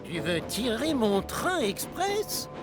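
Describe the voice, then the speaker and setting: Pompous, high-swooping cartoon express engine. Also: male voice, huge pitch swings, slow pace, exaggerated voice. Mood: scornful. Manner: pompous, emphatic. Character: grand, haughty big male express locomotive. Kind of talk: French cartoon dub. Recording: music bed behind the voice.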